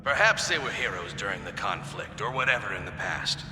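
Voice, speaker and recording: Game senator: smug, insinuating, cutting bright tone, long hall reverb, faint music. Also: male voice, fairly low pitch, lively intonation, brisk, a little hiss or hum. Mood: disdainful. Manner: condescending, insinuating. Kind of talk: video game cutscene acting. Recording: echoey chamber with long reverb.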